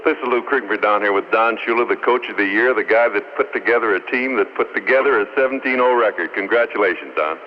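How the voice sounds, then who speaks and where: Quick talking radio reporter guy doing postgame interview, muffled lo-fi sound, bit of noise. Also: fairly low pitch. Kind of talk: post-game interview.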